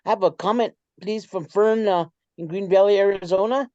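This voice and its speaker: A man hosting reads viewer comments aloud in a measured, plain way. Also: high voice, fairly even intonation, warm tone, no room sound. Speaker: host reading viewer comments. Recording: dull, muffled lo-fi audio.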